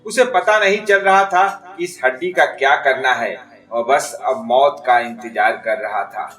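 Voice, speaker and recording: A man's voice: mid-range voice, a little slow, fairly bright tone. A man narrating a scripted moral story for children as a storyteller. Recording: distant, echoey, music behind.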